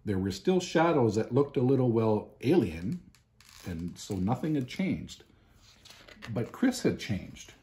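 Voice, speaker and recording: A man, reading a children's picture book aloud expressively, with deliberate, emphatic pauses. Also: mid-range voice, very animated, dark tone. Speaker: storybook reader. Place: home living room, indoors.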